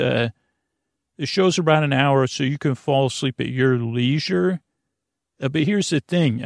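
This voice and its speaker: A man hosting a bedtime podcast, talking in a meandering, halting way and often correcting himself as he winds listeners down for sleep. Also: medium pitch, creaky, dry recording, studio quality. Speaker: podcast host. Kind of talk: rambling bedtime podcast intro.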